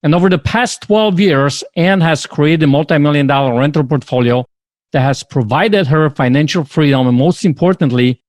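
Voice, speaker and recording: A man's voice: on the high side, normal speed, dry recording. A man hosting a podcast interview, upbeat and enthusiastic as he introduces his guest. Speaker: podcast host.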